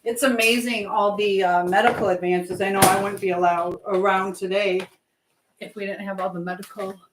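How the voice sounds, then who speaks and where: A woman's voice: medium pitch, flat delivery, normal speed. A woman hosting a casual live chat from her kitchen, talking informally to viewers while she cooks. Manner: chatty, reads viewer messages aloud and questions them. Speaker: live stream host giving advice. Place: indoors in a kitchen. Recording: echoey room with background noise.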